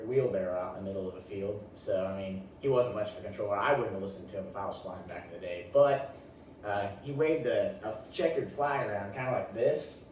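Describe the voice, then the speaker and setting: A man's voice: fairly low pitch, a little mellow. A young man giving an informal class presentation in a folksy, colloquial way. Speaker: student presenter. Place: echoey indoor room, presenting to a class. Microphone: distant room microphone. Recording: very echoey, distant mic, noisy room.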